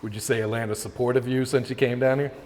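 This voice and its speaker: An interviewer asking relaxed, casual questions and giving brief affirmations. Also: mid-range voice, rapid-fire. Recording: long reverb, faint background noise.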